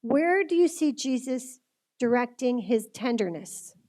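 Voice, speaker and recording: Woman, fairly high voice, informal faith speaker; earnest, conversational delivery that puts questions straight to the listener. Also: animated, measured pace, a little mellow. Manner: earnest, conversational, direct address with rhetorical questions. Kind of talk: informal religious talk to a group.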